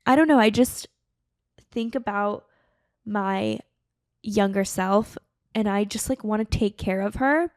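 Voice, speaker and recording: A woman's voice: medium pitch, measured pace, pauses often, dry recording, clean studio sound. A woman in her late twenties hosting her own podcast, talking alone in an earnest, chatty way with lots of fillers. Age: late 20s. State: tearful, emotional. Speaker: podcast host. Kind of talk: casual solo podcast monologue.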